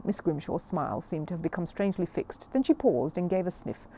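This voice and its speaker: Female storybook narrator, expressive, lowish mellow voice, light background noise. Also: fairly quick. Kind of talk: expressive children's audiobook narration.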